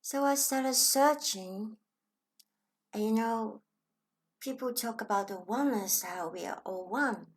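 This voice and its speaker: Slow, gentle woman with Hong Kong accent. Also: a little high. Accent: Hong Kong Chinese. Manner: gentle, thoughtful, dropping at times into a soft whisper. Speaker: channel host. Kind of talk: reflective personal talk.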